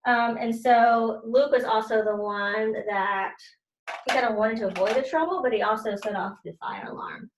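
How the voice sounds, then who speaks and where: A woman's voice: a little high, moderate pace, noisy background. A woman teacher doing a children's read-aloud, explaining things simply and speaking directly to her students. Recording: roomy, echoey sound.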